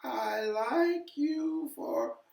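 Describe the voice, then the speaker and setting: A man voicing a frail, sick old mother in a storybook, with halting, quavering, drawn-out delivery. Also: very high voice, expressive, vocal fry, a little mellow, pitched above the performer's own voice, exaggerated voice. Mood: frail, tearful. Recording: echoey room, distant from mic.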